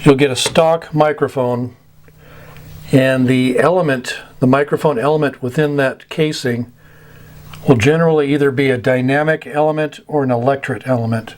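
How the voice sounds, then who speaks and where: Guy teaching radio stuff, informal, careful halting delivery, repeats words to make the point. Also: medium pitch, steady tone. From United States. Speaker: amateur radio instructor. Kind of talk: informal explanatory lecture.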